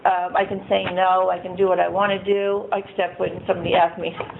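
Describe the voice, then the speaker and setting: A shy woman with a soft voice telling her recovery story at a meeting, rambling and laughing often as she acts out what others said. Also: on the low side, level tone, brisk, creaky. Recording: tinny phone-like, faint noise.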